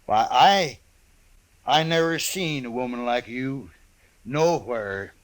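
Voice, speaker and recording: Big dumb gruff buffalo hunter, slow country drawl, old radio western. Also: male voice, mid-range voice, animated. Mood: smitten, earnest. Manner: slow, rustic ungrammatical drawl. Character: huge, primitive, gruff frontier buffalo hunter, simple and blunt. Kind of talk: 1950s western radio drama acting.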